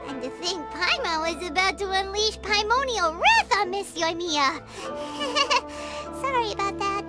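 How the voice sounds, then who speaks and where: A woman voicing a tiny, excitable fairy-like companion in a video game dub, chattering in quick exclamations. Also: very high-pitched, over loud music. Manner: refers to herself in the third person, quick exclamations.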